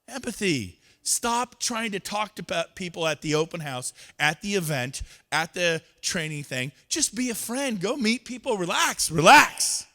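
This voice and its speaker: A man's voice: high voice, sing-song, unhurried, hardly any pauses, bright, forceful tone. A man giving a motivational talk on stage, acting out both sides of conversations with others. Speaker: real estate speaker. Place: event stage.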